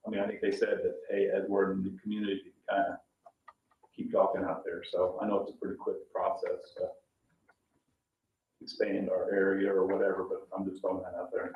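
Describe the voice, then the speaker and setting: Fast-talking, deep-voiced man, muffled. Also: monotone. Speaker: meeting participant. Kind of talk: informal meeting discussion. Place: indoor public meeting room. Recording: dull, echoey, distant, faint noise.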